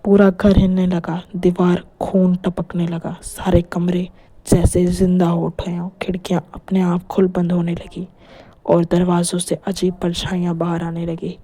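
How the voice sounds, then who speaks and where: Female storyteller for scripted horror tales, dark, soft-edged tone. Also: lowish voice, monotone, measured pace. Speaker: narrator.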